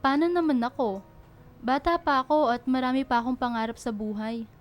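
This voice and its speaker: Woman acting a high-voiced earnest young Filipina girlfriend. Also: brisk, soft background music, lightly acted. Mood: pleading, upset. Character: polite, earnest young girlfriend dreaming of becoming doctor. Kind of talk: radio drama acting.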